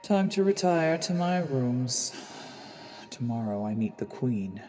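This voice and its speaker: An actor playing a sly assassin posing as a charming nobleman, narrating an audio drama in a courtly, measured, theatrical way. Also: mid-range voice, sing-song, mellow. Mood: brooding, calculating. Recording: long reverb, music underneath.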